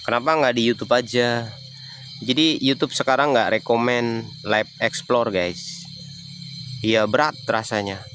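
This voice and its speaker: A man's voice: medium pitch, steady tone, unhurried, pauses often, a bit bright, a little hiss or hum. A man from Banyumas with a Javanese accent, chatting casually on a livestream and answering viewers' comments. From Banyumas, Central Java. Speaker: livestreaming explorer.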